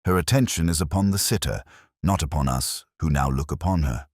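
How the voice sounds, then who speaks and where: A man's voice: extremely low pitch, brisk, breathy edge, no room sound, clean studio sound. A man voicing a keen, imperious master detective in an audiobook, speaking formally and precisely, with a lecturing emphasis. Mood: approving. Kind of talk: audiobook detective story dialogue.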